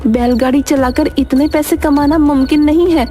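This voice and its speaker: Woman acting a high-voiced naive village wife. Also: fairly even intonation, normal speed, warm tone, close to an everyday voice. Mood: questioning. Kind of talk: animated Hindi moral story acting.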